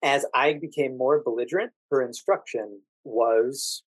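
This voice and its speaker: A calm American man talks as an interview guest in a measured, quiet manner. His voice sits at a medium pitch, and the sound is dry with no room in it. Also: husky, no room sound, rough recording. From Washington DC, United States. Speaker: podcast guest, actor and standardized patient. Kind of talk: conversational storytelling interview.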